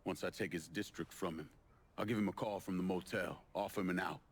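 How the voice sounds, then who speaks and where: An actor playing a cool, blunt, threatening war veteran out for revenge in a video game, speaking with an American accent and tight control. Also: male voice, low voice, fairly even intonation, brisk, slightly rough. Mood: resolute. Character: cool, menacing male Vietnam veteran turned avenger. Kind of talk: dramatic video game cutscene acting.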